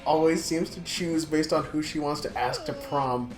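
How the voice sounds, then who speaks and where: Brief: foul-mouthed joking let's-play gamer, mid-pitched voice, roomy echo under music. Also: moderate pace. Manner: profane, joking, reads game text aloud. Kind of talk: casual game commentary with a co-host. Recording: roomy room with background music.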